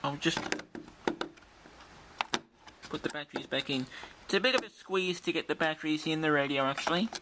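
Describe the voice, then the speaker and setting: A man's voice: on the high side, moderate pace, long pauses, noisy background. A man giving an unscripted show-and-tell as a video presenter, explaining things carefully and in detail. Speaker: presenter of a vintage radio demonstration video. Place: indoors in a bright room.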